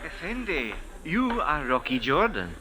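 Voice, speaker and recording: Theatrical, obsequious mystic fortune-teller. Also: male voice, fairly high pitch, huge pitch swings. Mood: mystical, coaxing. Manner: obsequious, halting, incantatory and dramatic. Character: humble, insistent male Egyptian sand diviner, theatrically mystical. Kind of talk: 1940s-50s radio drama acting.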